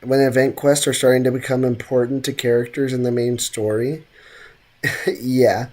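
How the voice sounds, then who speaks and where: Very low-voiced woman game streamer. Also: flat delivery, moderate pace, darkish tone. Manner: joking, slangy banter with viewers. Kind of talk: casual livestream chat and game commentary.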